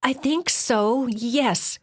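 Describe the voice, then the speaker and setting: A woman's voice: a little high, extremely slow, husky, pitched above the performer's own voice, full character voice. A woman voicing a frightened, freezing young girl in a storybook, pleading shakily through chattering teeth. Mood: scared.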